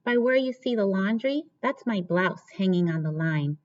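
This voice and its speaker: A woman's voice: mid-range voice, animated, moderate pace, lightly acted. A little girl from a children's storybook , voiced by a woman reading aloud. She is friendly and eager. The sound is a bit dull and lo-fi.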